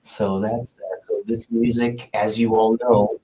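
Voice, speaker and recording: A man's voice: fairly low pitch, little pitch movement, a little slow, warm tone, a little hiss or hum, poor audio. A man from Puerto Rico, a jazz guitarist chatting as a guest in an interview, easygoing and rambling. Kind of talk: casual interview chat.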